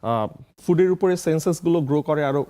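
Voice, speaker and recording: A man's voice: on the high side, a little fast, mellow. A man with a Bangladeshi accent talking casually as an interview guest, often switching into English phrases. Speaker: interview guest, food vlogger.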